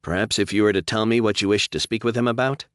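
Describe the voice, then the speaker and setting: A man's voice: fairly low pitch, fairly even intonation, fast, fairly bright tone, clean tone, no echo, studio quality, pitched above the performer's own voice, close to an everyday voice. A man voicing a woman receptionist who is courteous but firm, in an audiobook. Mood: polite firm. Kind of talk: audiobook character voice.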